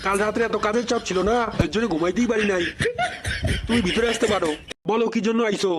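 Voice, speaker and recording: A man's voice: high-pitched, quick pace, very noisy, close to an everyday voice. A man voicing a lazy, brazen government minister in a comedy cartoon, with colloquial eastern Bengali dialect and an excuse-making manner. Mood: grumbling, evasive. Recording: loud music behind the voice.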